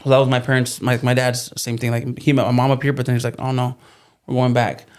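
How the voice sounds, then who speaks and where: A man's voice: mid-range voice, level tone, fast, smooth. A man whose first language was Spanish, a podcast guest chatting casually and rambling with lots of fillers and restarts. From California. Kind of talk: casual interview chat.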